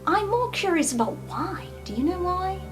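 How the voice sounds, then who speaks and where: A woman's voice: extremely high pitch, very animated, normal speed. A woman playing in an improvised tabletop roleplay, speaking casually and wryly in character. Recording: faint music under the voice.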